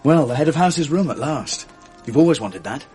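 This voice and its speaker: Actor as a wistful English schoolboy, music underneath. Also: male voice, fairly high pitch, expressive, moderate pace, mellow, natural voice. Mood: fond, wistful. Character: wistful, intense English public schoolboy in late teens. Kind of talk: 1980s radio drama acting.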